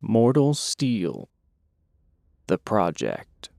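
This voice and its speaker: A man narrating an audio drama with a gravelly, husky voice and a wry, dramatic delivery. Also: on the low side, wildly swooping pitch, drawn-out words, darkish tone, dry recording, clean studio sound. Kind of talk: scripted audio drama storytelling.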